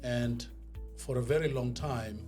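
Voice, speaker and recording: A South African man speaking as a podcast guest, a professional speaker telling his story in a deliberate, emphatic, oratorical way. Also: medium pitch. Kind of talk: motivational storytelling in an interview. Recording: music behind the voice. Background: music.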